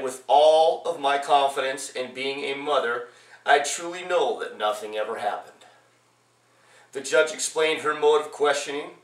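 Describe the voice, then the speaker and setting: A man reading his own personal memoir aloud as its author, in a halting way with repeated phrases. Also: mid-range voice. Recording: echoey room, distant from mic.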